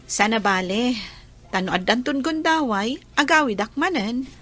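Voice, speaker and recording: A woman's voice: fairly high pitch, animated, moderate pace, a bit bright. An actress with a Filipino accent playing a dutiful young woman, an eldest daughter troubled in love, in a radio drama. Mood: earnest.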